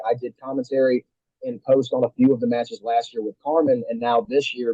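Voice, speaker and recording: A man with a very dark, mellow and slightly husky voice talks casually as a guest. He speaks in eager bursts and restarts his sentences often. The sound is dry and a little dull. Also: medium pitch, no echo. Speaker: wrestling commentator, podcast guest. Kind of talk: casual chat.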